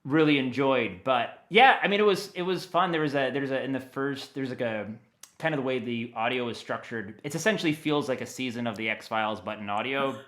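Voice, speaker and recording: A man speaking as an audio dramatist guest in a conversational, enthusiastic interview, telling things in an animated way. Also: medium pitch, brisk.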